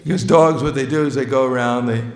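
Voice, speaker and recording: Brief: middle-pitched male preacher, wry and chuckling, casual talk, roomy temple echo. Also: fairly even intonation, quick pace. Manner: joking, chuckles often between phrases; plainspoken, self-mocking. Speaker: visiting monk giving a talk. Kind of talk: informal sermon. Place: temple hall. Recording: echoey hall.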